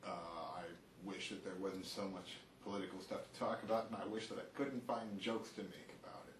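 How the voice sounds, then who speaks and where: A man's voice: sits low, fairly even intonation, fairly quick. A man hosting a comedy show with casual, rambling comic talk. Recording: echoey room with clear background noise.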